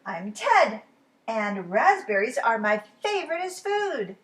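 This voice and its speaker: A woman's voice: fairly high pitch, very animated, slow, fairly bright tone, full character voice. Boisterous, smug imaginary pal for a storybook, played by a woman; bellowing, childlike, swooping delivery. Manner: bellowing, exclamatory, childlike wording. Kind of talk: storybook character voice.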